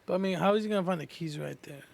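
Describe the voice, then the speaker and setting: A man with a high voice talks quickly in loose podcast banter. He is slangy and keeps questioning what he hears. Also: creaky. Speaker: podcast co-host.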